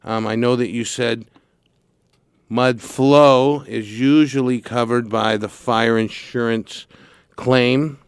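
A man from California hosting a radio talk show, chatting casually and pausing often with fillers and restarts. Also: medium pitch, steady tone, smooth, dry recording, clean studio sound. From Santa Barbara, California. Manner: halting, with many fillers and restarts.

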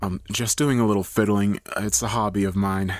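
A man's voice: lowish voice, steady tone, moderate pace, dry recording, natural voice. A man playing an anxious, evasive husband in an audio story, stammering and hesitant as he pleads. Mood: nervous, evasive. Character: nervous husband lying to police, anxious and evasive.

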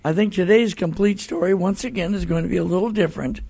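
High-pitched gravelly older American radio host. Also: male voice, fast, darkish tone. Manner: emphatic, rhetorical questions, repeats phrases.

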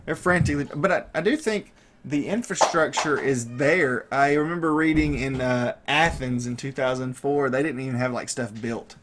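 A man in his thirties hosting a casual podcast chat, animated and quick to laugh as he tells sports trivia. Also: medium pitch, noisy background. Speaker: podcast host.

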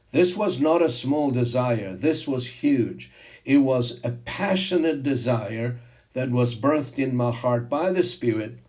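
An older man whose first language is Greek, preaching a sermon and telling personal testimony in a slow, emphatic way. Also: medium pitch, a little mellow, highs cut off. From Zimbabwe.

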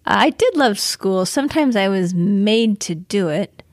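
A woman from Southern California, a scholar and author, talking in a relaxed, thoughtful way with the odd um as an interview guest. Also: medium pitch, unhurried, dry recording, clean studio sound. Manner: relaxed, thoughtful, with filler ums. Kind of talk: interview conversation.

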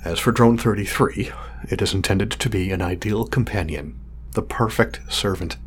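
A man playing a proud, exacting craftsman of android companions in an audio drama, speaking in a formal, measured, condescending way. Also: lowish voice, close to an everyday voice. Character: pompous male craftsman of artificial companion drones, exacting, proud. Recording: clear background noise, sci-fi lab ambience.

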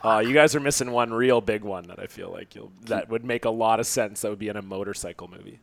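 A man's voice: fairly low pitch, fast talker, gravelly, fairly bright tone, dry recording. A man chatting casually and swearing freely as he hosts a podcast guessing game. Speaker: podcast host and quiz master.

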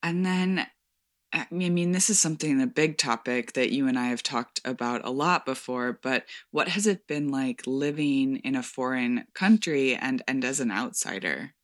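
A woman hosting an interview, asking gentle, hedging questions and offering soft "mm-hmm"s. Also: rumbling low, moderate pace, no echo, studio quality. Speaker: podcast host.

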